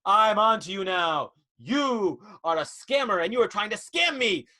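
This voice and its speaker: A man's voice: very high voice, animated, fairly quick, fairly bright tone, breathy edge, voice pushed up, exaggerated voice. A man voicing a young woman pharmacist, pleading and melodramatic in an improvised story. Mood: outraged.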